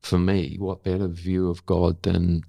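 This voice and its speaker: An older man, a guest in a reflective conversation, speaking haltingly and thoughtfully as he searches for words. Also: low voice, monotone, vocal fry, warm tone, dry recording, studio quality. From Australia. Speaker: interview guest. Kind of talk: reflective long-form conversation.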